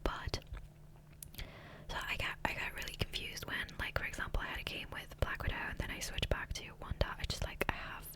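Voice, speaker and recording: A woman whispering breathily in a casual, rambling ASMR style, close to the microphone. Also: fairly quick. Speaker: ASMR gaming video creator. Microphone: close USB condenser microphone.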